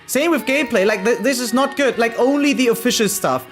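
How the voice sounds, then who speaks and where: A woman's voice: fairly high pitch, fairly quick, faint music behind. A woman doing casual livestream commentary as a game streamer, emphatic and opinionated, speaking informally with slang.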